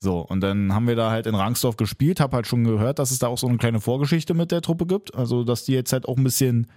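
A man's voice: mid-range voice, fast talker, warm tone, dry recording. Rambling young man, very dry sound. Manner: rambling, many fillers and "halt". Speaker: podcast co-host. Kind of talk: casual football podcast chat.

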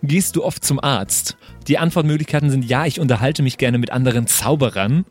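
A man's voice: mid-range voice, brisk, over loud music. A man in a casual group chat, playful and amused as he talks and reads things out. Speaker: podcast player creating a role-playing character. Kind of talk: casual group chat, reading out quiz questions.

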